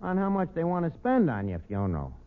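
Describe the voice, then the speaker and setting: Streetwise New wisecracker running a tavern, pompous and sardonic, on a noisy, tinny vintage radio comedy recording. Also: male voice, fairly high pitch, wildly swooping pitch, fast. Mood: dry, dismissive. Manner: sardonic, streetwise, malapropism-prone. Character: wisecracking, self-important tavern manager with mangled grammar. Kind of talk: 1940s radio comedy acting.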